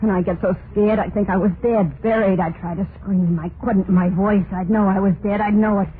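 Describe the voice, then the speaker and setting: An actress playing a tormented, murderous young wife in a vintage radio horror play, confessing in a brooding, repetitive monologue with plain folksy speech. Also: female voice, mid-range voice, steady tone, a little fast, narrow, tinny audio, lightly acted. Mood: despairing, frightened. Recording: noisy, band-limited vintage radio recording.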